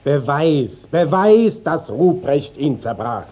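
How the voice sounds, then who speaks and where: A dignified inspector of the courts, firm and measured. He speaks slowly with wide swings of pitch, in classical stage style, on a dull old radio recording. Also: sing-song, mellow, exaggerated voice. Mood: stern. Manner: authoritative, measured.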